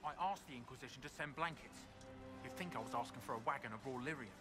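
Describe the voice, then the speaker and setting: An actor playing a weary, dutiful corporal in a fantasy game, plain-spoken and a little wry. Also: male voice, mid-range voice, fast talker, over music, natural voice. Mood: weary, concerned. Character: weary, dutiful male army corporal managing refugee aid. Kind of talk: scripted fantasy game dialogue.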